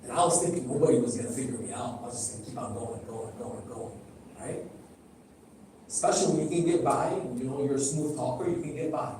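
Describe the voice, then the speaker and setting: Preacher in a very echoey hall. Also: male voice, medium pitch, quick pace, darkish tone, slight background noise. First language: Arabic. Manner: direct, questioning the audience, repeating phrases for emphasis. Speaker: speaker at a religious gathering. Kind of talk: sermon. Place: large hall. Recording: echoey hall, heard from a distance.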